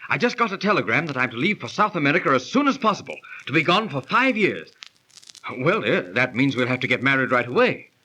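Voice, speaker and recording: Earnest boyfriend pleading, raspy bright forceful voice, old radio melodrama. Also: male voice, mid-range voice, sing-song, fast talker, exaggerated voice. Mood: earnest, pressing. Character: earnest, insistent young suitor, male. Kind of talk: 1930s radio acting.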